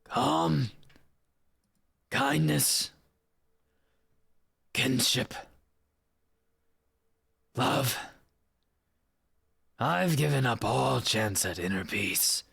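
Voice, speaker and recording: A man voicing a weary, bitter rebel who gave up everything, in a raspy, intense dramatic monologue. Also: medium pitch, a bit bright, no room sound, studio quality, pitched above the performer's own voice, exaggerated voice. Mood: sorrowful, resigned. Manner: intense, dramatic, deliberate delivery.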